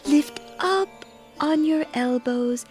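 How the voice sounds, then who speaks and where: A woman's voice: sits high, slow, darkish tone. A woman acting a soothing yoga instructor guiding poses in an audio drama. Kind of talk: guided exercise in audio drama. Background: sitar music.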